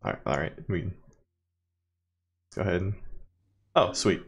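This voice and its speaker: Male let's player, lowish voice, casual and rambling, wry humour. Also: normal speed, vocal fry. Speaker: gaming video host. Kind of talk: casual let's play commentary.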